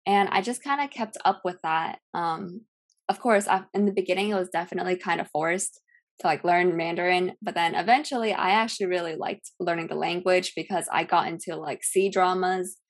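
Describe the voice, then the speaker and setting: A woman's voice: on the low side, fairly quick. A young woman from California, a Cantonese speaker, chatting casually as a podcast guest with lots of fillers. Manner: relaxed, rambling with many fillers. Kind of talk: casual chat.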